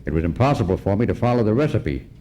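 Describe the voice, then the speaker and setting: An actor playing a wry, laconic private detective in a comic radio noir parody. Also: male voice, on the low side, rapid-fire. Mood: deadpan. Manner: deadpan, laconic. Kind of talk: comic old-time radio noir acting. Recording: noisy live stage recording.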